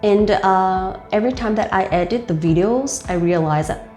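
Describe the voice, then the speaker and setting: A woman's voice: mid-range voice, normal speed, darkish tone. A young woman with a Vietnamese accent gives casual speaking tips, talking loosely with many "like" fillers. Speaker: host and life coach. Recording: roomy with faint music.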